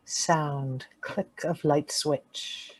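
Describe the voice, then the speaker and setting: A woman reading out sound-effect cues for a radio play in deliberate, clipped stage-direction phrases. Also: low voice, steady tone, no echo, full character voice, rough recording. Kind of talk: flat announced stage directions.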